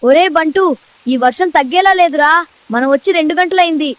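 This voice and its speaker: A woman's voice: sits high, brisk, dry recording, natural voice. A woman voicing a mischievous eight-year-old boy who earnestly spins tall tales in a children's comedy cartoon. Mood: insistent, defensive.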